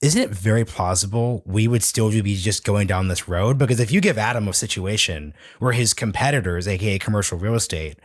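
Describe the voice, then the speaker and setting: A man with a deep, low voice hosts a podcast interview. He asks long, meandering questions, working out his thoughts as he talks. The sound is dry and close to the mic. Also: fairly quick, few pauses, no echo. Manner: thinks aloud, long rambling questions. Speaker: podcast host.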